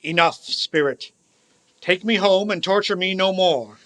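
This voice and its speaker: A man's voice: high voice, a little slow, fairly bright tone, no room sound. A man playing an anguished old miser in a radio play, pleading and dramatic. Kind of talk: live-audience radio play acting.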